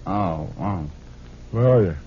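Low-voiced wisecracking private eye, old radio. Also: male voice, lively intonation, takes it slowly, a little hiss or hum. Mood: flippant. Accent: American. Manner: wry, wisecracking. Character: wisecracking tough male private detective. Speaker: lead actor and narrator. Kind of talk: 1940s radio acting, hard-boiled narration. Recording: dull old radio recording, music.

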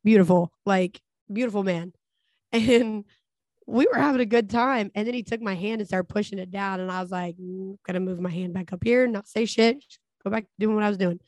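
A woman's voice: mid-range voice, fairly quick, a little mellow, no room sound. A young woman chatting candidly as a podcast guest, laughing often as she tells stories. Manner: candid and giggly, laughing often between lines. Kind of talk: casual chat.

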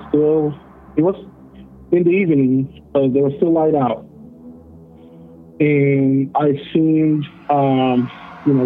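A man from El Salvador, calling in to a show, telling a personal story slowly with many fillers and pauses. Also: medium pitch, slight background noise. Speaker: caller. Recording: tinny phone line.

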